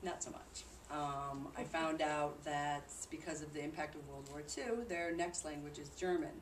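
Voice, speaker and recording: A woman's voice: low-pitched, normal speed. A woman giving an informal presentation about a trip to a group, explaining things in a conversational way. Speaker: presenter reporting on a charity trip. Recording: distant mic in noisy, echoey room.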